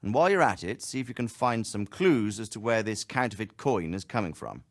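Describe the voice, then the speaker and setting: An actor playing a commanding lord in a video game cutscene, giving orders in a measured, authoritative way. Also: male voice, lowish voice, sing-song, dry recording, studio quality. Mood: firm. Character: commanding steady male lord.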